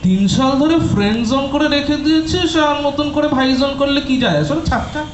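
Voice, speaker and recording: A man's voice: very high voice, quick pace, a little hiss or hum. A man playing a caring, teasing young college student who keeps the peace among friends in an audio drama. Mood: earnest, resigned. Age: young adult. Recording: dull echoey recording under music.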